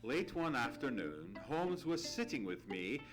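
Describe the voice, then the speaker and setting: A man playing a genial, fussy English gentleman storyteller in a hearty, theatrical old-time radio drama style. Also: mid-range voice, big pitch swings, a little slow, over loud music. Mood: reflective storytelling. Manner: hearty, theatrical, repeats phrases. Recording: live theatre stage recording.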